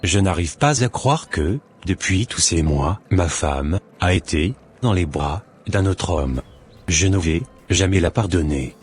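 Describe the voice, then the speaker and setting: A man's voice: low voice, lively intonation, unhurried, soft background music. A man voicing a wounded, stern husband in an amateur cartoon dub, with a grave, earnest delivery. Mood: hurt, brooding. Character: betrayed, wounded but loving husband, stern and brooding.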